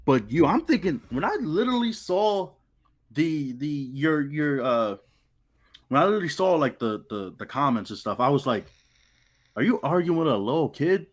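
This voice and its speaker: A man's voice: fairly high pitch, lively intonation, normal speed. A man co-hosting a live sports talk stream, teasing and laughing as he chats. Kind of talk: casual live-stream chat.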